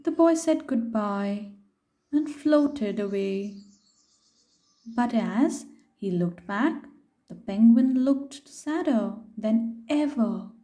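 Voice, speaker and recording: A woman with a high, softly mellow voice reads a children's story aloud. She takes her time, shaping the phrases expressively and stopping to put simple questions to her young listeners. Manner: expressive, unhurried, pausing to ask questions. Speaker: storyteller reading a picture book aloud to children.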